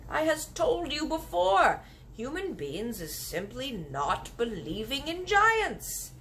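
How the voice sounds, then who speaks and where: A woman voicing a big, friendly old male giant who talks slowly in mangled grammar and made-up words, reading a storybook. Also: sits high, wildly swooping pitch, a bit bright, a little hiss or hum, voice pushed up, full character voice. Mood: doubting.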